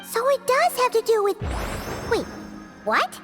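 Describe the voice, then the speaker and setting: A woman voicing a tiny, childlike, chatty fairy companion in an anime-style video game, squeaky, curious and informal. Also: huge pitch swings, unhurried, exaggerated voice. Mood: curious, puzzled. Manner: informal, talks of herself in third person. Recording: music behind the voice.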